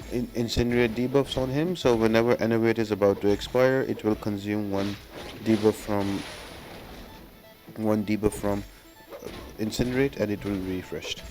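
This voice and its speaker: A man giving instructional walkthrough commentary on mobile game fights, explaining each step as a guide. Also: lowish voice. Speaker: gaming video creator giving guides. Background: music.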